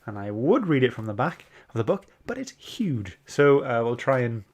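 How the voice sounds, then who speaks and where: A man hosting a casual live-stream chat, speaking in a halting, hesitant way. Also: medium pitch, sing-song, a little mellow. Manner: hesitant, halting when summarizing. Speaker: host of a comic book club show.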